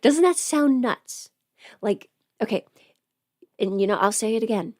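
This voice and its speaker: A woman's voice: a little high, expressive, a little slow, a little mellow, no echo, clean studio sound. A young woman chatting casually as a livestream host, informal and talkative, often putting rhetorical questions to her listeners. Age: young adult.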